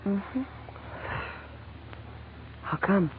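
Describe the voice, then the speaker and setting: A woman playing a weary, guarded American nightclub hostess in 1940s radio drama style, reserved in manner. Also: drawn-out words. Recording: muffled, hissy vintage radio recording.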